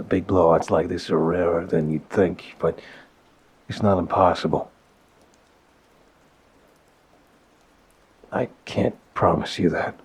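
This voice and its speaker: A man playing a soft-spoken, apologetic mafia boss boyfriend in an intimate ASMR roleplay, hesitant and gentle, with a low, husky, breathy voice. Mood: reassuring. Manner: hesitant, gentle, sighing pauses. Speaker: audio roleplay performer. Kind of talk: ASMR audio roleplay, one-sided intimate phone call.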